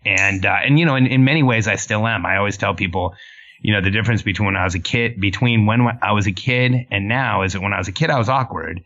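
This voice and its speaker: A man's voice: on the low side, fast talker, a bit bright. A man in his forties who grew up in Mexico and Southern California, telling his story earnestly as a podcast guest. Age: 40s. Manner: earnest, with frequent restarts. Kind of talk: casual interview storytelling. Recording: muffled lo-fi line.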